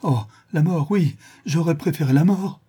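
A man's voice: a little high, animated, normal speed, dark tone, husky, pitched above the performer's own voice. A man voicing a brutal brigand who has been blinded, exclaiming and pleading in a storybook reading. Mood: desperate. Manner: exclamatory, pleading, repeating words in anguish. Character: brutal male brigand, newly blinded, raging then pitifully despairing.

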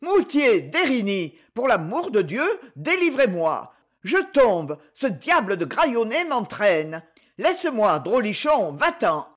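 A woman reading aloud and voicing a stout, blustering old male general who shouts in panic and fury. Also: medium pitch, big pitch swings, measured pace. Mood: panicked.

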